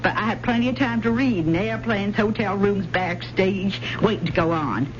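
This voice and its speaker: An actress playing a proud, quick-witted country fiddler woman with a folksy Southern American drawl in an old-time radio drama. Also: medium pitch, lightly acted. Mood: playful, sassy. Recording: muffled, noisy old radio recording.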